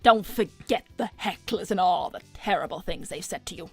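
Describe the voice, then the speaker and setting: A woman's voice: lowish voice, moderate pace, vocal fry, slightly rough, soft background music. A woman voicing a seductive, manipulative talent-scout villainess, theatrical, coaxing and condescending, in an improvised cartoon roleplay. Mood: smug, silky. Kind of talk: improvised in-character livestream roleplay.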